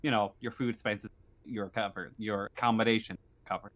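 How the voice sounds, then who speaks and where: A man running a tabletop roleplaying game as game master, narrating haltingly as he searches for his words. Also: fairly low pitch, steady tone, fairly bright tone.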